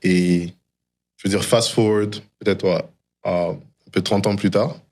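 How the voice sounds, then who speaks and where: Male interview guest, low register, reflective storyteller, slips English phrases into French. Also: steady tone, measured pace, darkish tone. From DR Congo and Canada. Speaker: interview guest, tech founder. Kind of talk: reflective personal storytelling in conversation.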